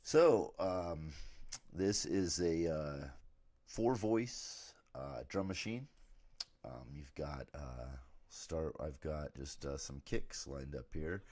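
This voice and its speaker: A man with a raspy, worn throat from being ill, casually walking through gear on a live stream with lots of fillers. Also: extremely low pitch, slow. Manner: relaxed, rambling with many fillers.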